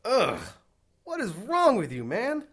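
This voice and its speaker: Animated young junior detective. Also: male voice, very high voice, takes it slowly, exaggerated voice. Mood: disgusted. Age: young adult. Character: eager young male junior detective, touchy underling.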